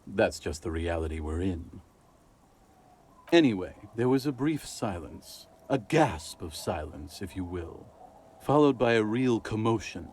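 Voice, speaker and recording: A man's voice: low-pitched, very animated, a little slow, a little mellow, breathy edge, heavily acted. A man playing a wry, philosophical drunkard in a video game, telling tales in a laid-back, mock-eloquent way. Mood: amused storytelling. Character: wry, boozy male alcoholic storyteller, grandiose and philosophical. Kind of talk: video game character monologue.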